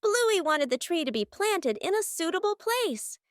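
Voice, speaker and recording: Very high-pitched woman narrating a children's story. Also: big pitch swings, moderate pace, no room sound, studio quality. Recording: music behind the voice.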